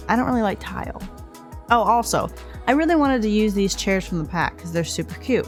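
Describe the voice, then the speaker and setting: A woman talks through her building session in an easygoing, rambling voice-over, her nose a little blocked from allergies. She hesitates often and circles back on her thoughts, at an ordinary middle pitch. Soft music plays faintly behind her. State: stuffed up from allergies. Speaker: game builder narrating a speed build. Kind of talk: casual voice-over commentary.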